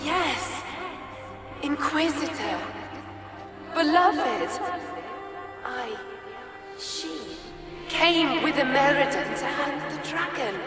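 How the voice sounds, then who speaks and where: A woman voicing a sorrowful, dazed female spirit in a video game, speaking in halting fragments. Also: high voice, expressive, heavily acted. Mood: haunted, remembering. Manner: halting, fragmented phrases with long pauses. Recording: echoey, long reverb, music underneath.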